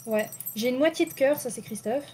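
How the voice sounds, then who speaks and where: A woman's voice: high-pitched, fairly quick, a crowd in the background. Chatty young woman, rambling and hesitant. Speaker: stream co-host. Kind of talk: casual chat.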